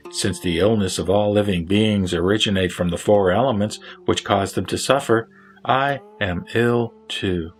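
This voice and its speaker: Sacred audio drama: male performer for an ailing wise lay teacher, lowish voice, solemn didactic delivery, light musical bed. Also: normal speed, soft background music, close to an everyday voice. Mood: solemn, instructive.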